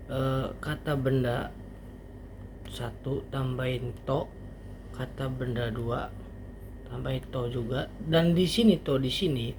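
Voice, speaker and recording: A man giving an informal language lesson as a teacher, explaining haltingly step by step with casual asides. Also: medium pitch. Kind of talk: informal online grammar lesson. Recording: faint background noise.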